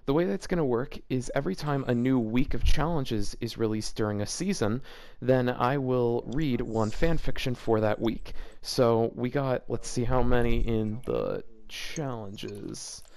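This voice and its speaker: A man's voice: medium pitch, lively intonation, unhurried, creaky, darkish tone. A man hosting a casual read-aloud of fan fiction, rambling and breaking off into joking asides. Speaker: host reading fanfiction aloud for a video series.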